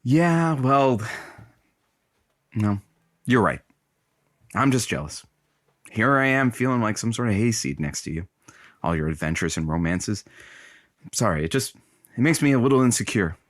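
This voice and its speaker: Excitable, needling radio producer with swooping pitch. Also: male voice. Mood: sulky, wheedling. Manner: halting announcer patter, sarcastic, theatrical outbursts. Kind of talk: comedic audio drama acting.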